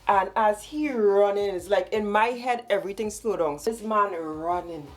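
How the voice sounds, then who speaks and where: A woman in her twenties from Trinidad and Tobago with a Trinidadian accent, talking quickly and animatedly as she tells a story on her vlog. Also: medium pitch, faint music behind. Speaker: vlogger.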